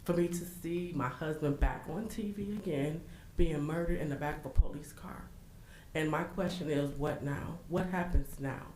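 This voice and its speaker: Hoarse woman at a council podium, earnest, lots of ums, roomy echo. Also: deep voice, a little mellow, slight background noise. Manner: earnest, halting with fillers. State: hoarse from illness. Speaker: public commenter. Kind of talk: public comment at a council meeting. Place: city council chamber. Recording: echoey council chamber.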